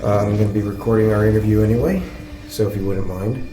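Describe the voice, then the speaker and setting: Under loud music, an actor plays a small-town policeman with a lowish male voice. He is reserved and procedural, pausing as he speaks in a matter-of-fact, polite audio drama style. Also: steady tone, close to an everyday voice. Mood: polite, firm. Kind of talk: naturalistic audio drama dialogue.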